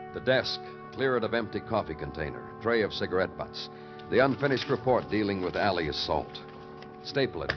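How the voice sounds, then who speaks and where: An actor playing a hardened New York homicide detective, narrating in terse, poetic fragments in a 1950s American radio drama style. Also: male voice, fairly low pitch. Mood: brooding. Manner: terse, clipped fragments, poetic and world-weary. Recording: muffled old radio recording with music.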